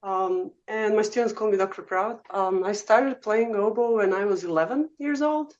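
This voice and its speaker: A woman's voice: mid-range voice, moderate pace, a little mellow. Serbian-accented woman, muffled audio. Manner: chatty, frequent "um" and "uh", laughs easily. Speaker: guest oboe professor. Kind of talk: casual interview storytelling.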